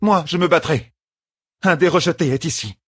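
Guy doing a young lady boss in a fantasy audiobook, raised husky voice, bossy, urgent. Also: on the high side, moderate pace, no echo, studio quality, voice pushed up. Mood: determined. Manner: urgent, authoritative. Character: commanding, determined young woman leader of a sisterhood.

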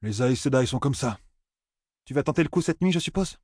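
A man's voice: medium pitch, expressive, fairly quick, a little mellow, no echo, clean studio sound, voice pushed up, close to an everyday voice. A man voicing a spirited young woman who speaks in a sulky, pointed way.

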